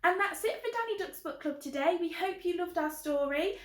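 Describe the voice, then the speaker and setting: High-pitched woman hosting for children, roomy echo. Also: lively intonation, a little fast, fairly bright tone. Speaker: children's book club host. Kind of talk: children's presenting. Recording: roomy, echoing sound.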